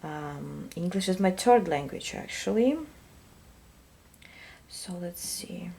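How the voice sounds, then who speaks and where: A woman with a Russian accent, giving a soft, hesitant tarot reading that often drops to a whisper. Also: fairly low pitch, animated, slow pace, gravelly, a little hiss or hum. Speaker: tarot reader. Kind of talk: intuitive reading to viewers.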